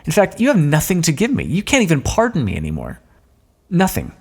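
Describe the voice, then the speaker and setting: A man playing a smug, sardonic thief in an audio roleplay, speaking with mocking mock-courtly politeness and drawn-out emphasis in a long echo. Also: a little high, huge pitch swings, breathy edge, full character voice. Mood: scornful, taunting. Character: smug, sardonic male thief, gloating at a fallen monarch. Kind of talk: scripted audio roleplay, theatrical monologue. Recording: long echoing reverb.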